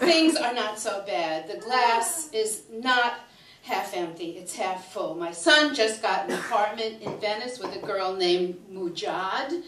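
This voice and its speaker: A sixty-five-year-old woman, delivering a theatrical comic monologue on stage in a dramatic, exasperated, halting manner. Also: medium pitch, expressive, a crowd in the background. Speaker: storyteller performing a comic monologue. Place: small indoor stage before an audience. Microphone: microphone on a stand. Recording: roomy live stage, distant, audience laughing. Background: audience laughter.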